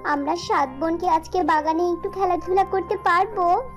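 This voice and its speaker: A woman's voice: very high voice, fairly even intonation, normal speed, faint music behind. A woman voicing a little flower fairy girl in a children's cartoon, childlike and plaintive in manner. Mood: hopeful, obedient. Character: little flower fairy daughter, girlish, eager and pleading.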